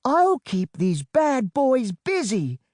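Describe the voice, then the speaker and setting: A man's voice: very high voice, huge pitch swings, slow, no echo, clean studio sound, pitched above the performer's own voice, full character voice. A man voicing a brave, bossy teenage big sister in a children's audiobook, calling out in a commanding, matter-of-fact way. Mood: confident, matter-of-fact. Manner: commanding, shouted delivery.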